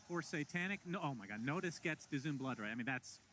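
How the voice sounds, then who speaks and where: A man doing play-by-play commentary on an esports match, quick to burst into exclamations. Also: fairly high pitch, a little hiss or hum. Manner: excitable, breaks into exclamations. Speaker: esports caster.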